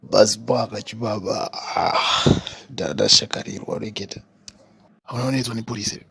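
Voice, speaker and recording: A man voicing a skeptical, teasing buddy in a comedy cartoon, with a rough husky voice and a Zimbabwean accent. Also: lowish voice, lively intonation, normal speed, fairly bright tone, no echo, clean studio sound, exaggerated voice. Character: skeptical, teasing buddy of a tall-tale teller.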